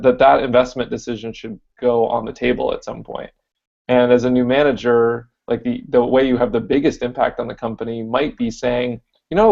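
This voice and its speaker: A man co-hosting a casual podcast chat, deep-voiced, thinking aloud with lots of fillers. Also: vocal fry, darkish tone, muffled recording. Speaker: podcast co-host.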